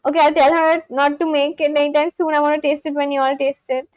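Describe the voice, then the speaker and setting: A woman's voice: high-pitched, little pitch movement, fast, darkish tone, dry recording, dull audio. A woman from India with an Indian accent hosting a live stream, chatting casually with viewers, laughing often and talking straight to her chat. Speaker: livestream host.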